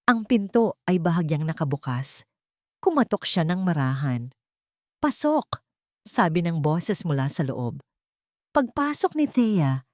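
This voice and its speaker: A woman's voice: on the low side, darkish tone, no room sound, studio quality. A woman storyteller reading dramatic scripted narration for an audio drama.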